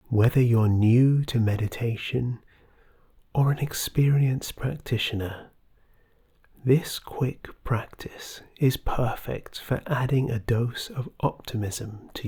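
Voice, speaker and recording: Very slow, husky, breathy meditation guide. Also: medium pitch. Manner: soft, soothing, breathy, often near whisper. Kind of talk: scripted guided meditation narration.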